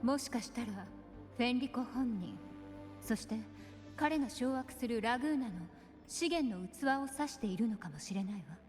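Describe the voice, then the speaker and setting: A woman's voice: on the high side, fast talker, a little mellow, natural voice. An actress playing a composed, aloof woman informant in a video game, cool and matter-of-fact. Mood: cool, informative. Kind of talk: Japanese video game voice acting. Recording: reverberant game audio with music.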